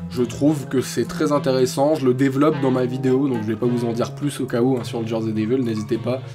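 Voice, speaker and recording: Young male host, casual and reflective, middle voice, desk webcam, soft music underneath. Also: mid-range voice, level tone, quick pace. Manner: casual, thoughtful, many fillers and restarts. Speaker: channel host. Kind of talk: ranking urban legends in a tier list. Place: indoor room, webcam framing.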